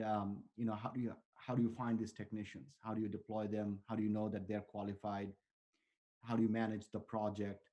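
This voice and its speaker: A man's voice: fairly low pitch, dark tone. A man in his forties from Bangladesh, a startup founder answering interview questions in an earnest, rambling way. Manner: earnest, talks in long strings of restarts and fillers.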